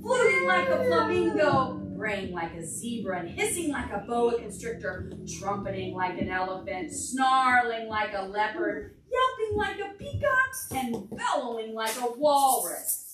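A high-voiced woman reads a picture book aloud to small children, swooping through sing-song phrases and asking simple questions. Her pace is unhurried. She is heard from across a roomy, noisy space. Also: big pitch swings. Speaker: children's librarian leading toddler storytime. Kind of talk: read-aloud to toddlers with questions. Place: large carpeted library meeting room. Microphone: far camera across the room. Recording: roomy, distant mic, noisy room.

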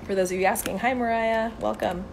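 A woman's voice: mid-range voice, steady tone, a little fast, gravelly, slight background noise. A woman in her twenties, from Venezuela, chatting on a live stream and answering viewer questions in an upbeat, filler-filled way, filmed on a phone held at arm's length outdoors by a brick wall. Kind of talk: casual live chat. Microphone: phone camera at arm's length.

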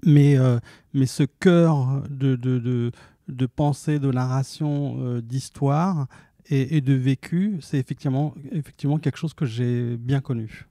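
Man in his fifties, from Algeria, slow halting answers, gravelly and very dark voice, dry sound. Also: mid-range voice, dry recording. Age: 50s. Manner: halting, many restarts and fillers. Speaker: author guest. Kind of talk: hesitant interview answers. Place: cultural institute stage, indoors. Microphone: handheld mic.

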